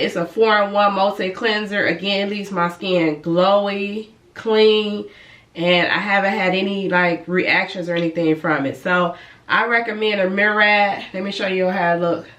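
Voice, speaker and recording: Bright-voiced, slightly congested woman vlogger. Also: mid-range voice, fairly even intonation, normal speed. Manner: rambling, self-correcting, chatty. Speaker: licensed cosmetologist, beauty vlogger. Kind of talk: casual product review and demonstration. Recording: echoey room, mic at a distance.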